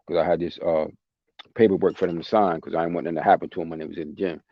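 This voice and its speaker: Deep voiced guy going off on a point, repeats himself a lot, dry muffled mic. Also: little pitch movement, rapid-fire, dry recording. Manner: passionate, emphatic, repeats himself. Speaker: podcast host. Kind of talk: casual chat. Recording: dry, dull lo-fi sound.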